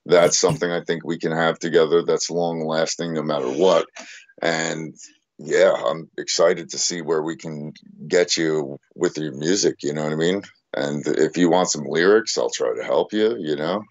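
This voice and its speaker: A man with a very deep bass-tenor voice talks in a confident, easygoing podcast chat and keeps checking in with "you know what I mean". The recording is dry and a little muffled, with no room around it. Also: steady tone, moderate pace, no room sound. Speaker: podcast co-host and streamer. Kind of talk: casual chat.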